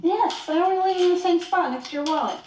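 A woman's voice: extremely high pitch, fast. A woman playing a friendly, mildly exasperated young American girlfriend in a found-footage film, casual and questioning. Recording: noisy, echoey handheld home-video film sound.

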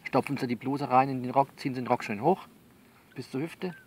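A man's voice: medium pitch, a little fast, slight background noise. A man in a conversational, improvised one-sided monologue, coaxing and bossing a listener along and often repeating himself. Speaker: performer of his own audio novel.

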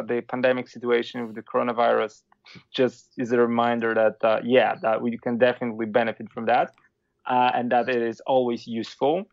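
Polish-accented man, gravelly and halting. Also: mid-range voice, no echo, highs cut off. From Poland. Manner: halting, many restarts and fillers. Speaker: guest philosopher and author. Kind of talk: casual interview talk.

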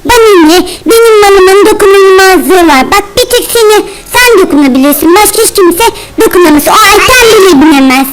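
Bossy, boastful cartoon spider mum, extremely high voice, hot-tempered and repetitive played by a woman. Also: expressive, normal speed, hardly any pauses, low-quality recording. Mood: possessive, stubborn. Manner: boastful, scolding, repeats phrases. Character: bossy, hot-tempered spider mother, reckless proud driver. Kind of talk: improvised children's cartoon-style role play.

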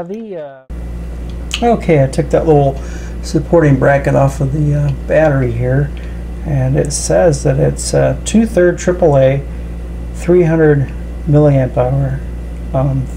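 Male, middle-aged, mellow voice with fry, halting casual delivery for hands-on hobbyist demonstration. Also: medium pitch, slight background noise, low-quality recording. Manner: hesitant, halting, unscripted delivery. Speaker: electronics hobbyist presenter. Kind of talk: casual hands-on teardown commentary. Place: indoors at a tabletop.

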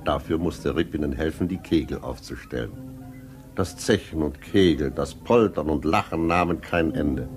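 An actor playing a storyteller who tells an old fairy tale in a radio drama, measured and dramatic. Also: male voice, extremely low pitch, darkish tone, soft background music. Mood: storytelling.

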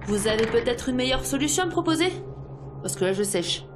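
A woman's voice: fairly high pitch, animated, fairly quick, background noise, natural voice. Woman as a firm, formal rebel commander. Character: authoritative female rebel commander, patient but firm. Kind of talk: audio drama acting.

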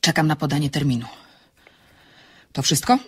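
An actress playing a bold amateur sleuth in a radio drama, with a husky voice and brisk, self-assured delivery. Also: female voice. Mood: composed. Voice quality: low, husky. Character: excitable, bold amateur sleuth woman, composed when bluffing.

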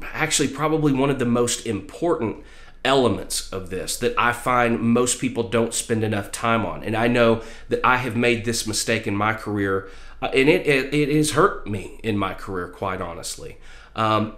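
Male, middle-aged, medium pitch. Emphatic career-advice vlogger speaking to camera in an echoey small room. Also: a bit bright. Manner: emphatic, repeats phrases for stress. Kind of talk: motivational talk to camera. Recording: roomy, echoey indoor sound.

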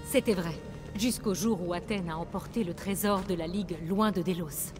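A woman's voice: on the low side, moderate pace, natural voice. A woman voicing a proud, shrewd rebel leader in a video game, with an earnest, firm and persuasive delivery. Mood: grave, resolute. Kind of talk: video game voice acting in French. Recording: game dialogue over loud music.

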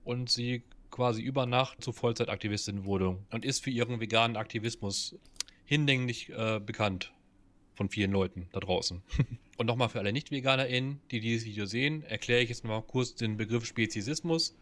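A man in a reaction video, explaining something in a brisk, casual way. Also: medium pitch, a bit bright.

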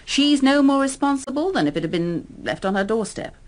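An actress playing a genteel English wife, brisk and well-spoken, in a radio drama. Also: female voice, sits high, lively intonation. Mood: matter-of-fact.

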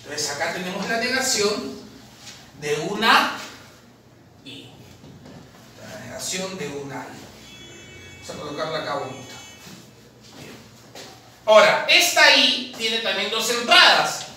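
Lively tutor in an echoey classroom. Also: male voice, high voice, measured pace, long pauses. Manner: lively, asks questions and answers them himself, calls the viewer "papi". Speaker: math tutor at an admissions academy. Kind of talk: chalkboard lesson solving exam problems. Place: classroom at a chalkboard, indoors. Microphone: far camera. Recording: very echoey classroom from far off, faint noise.

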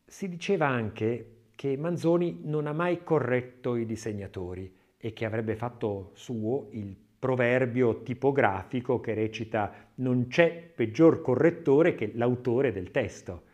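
A man narrating an audiobook in a scripted, expressive literary reading style, with measured phrasing and dramatic emphasis. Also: medium pitch.

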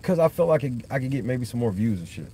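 A man's voice: medium pitch, very animated, fast talker, mellow, husky, poor audio. A man in his thirties hosting a livestream, talking loosely and profanely in casual chat from a parked car at night into a phone on the dashboard. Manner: loose, profane, rambling talk. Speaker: livestream host.

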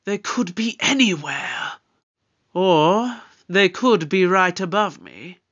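Brisk, authoritative space detective in an audio drama. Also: mid-range voice, lively intonation, fairly bright tone, muffled recording. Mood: exasperated.

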